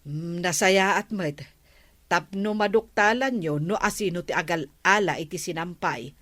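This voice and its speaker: A woman's voice: lowish voice, unhurried, a bit bright, no room sound, clean studio sound. An actress with an Ilocano accent playing a scolding, urging village woman in a radio comedy.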